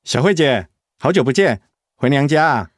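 An actor playing a troubled, torn middle-aged pharmacist in a radio drama. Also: male voice, mid-range voice, lively intonation, moderate pace, dry recording, clean studio sound, natural voice. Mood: friendly.

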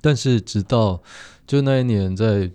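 A man's voice: on the low side, measured pace, mellow, clear, resonant tone, dry recording. A man telling a personal story as an interview guest, tentatively rephrasing as he goes. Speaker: guest actor. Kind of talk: casual anecdotal interview talk.

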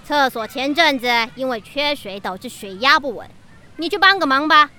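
Woman acting as strict teacher, bright forceful voice, exasperated, bossy, animated, Taiwanese Mandarin accent, children's radio play. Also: sits high, moderate pace, slight background noise, heavily acted. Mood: commanding. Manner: scolding, bossy.

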